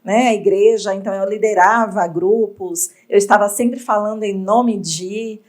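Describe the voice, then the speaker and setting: A woman from Bahia, an entrepreneur telling her story in an interview, reflective and expressive. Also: mid-range voice, moderate pace. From Bahia, Brazil. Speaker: entrepreneur guest.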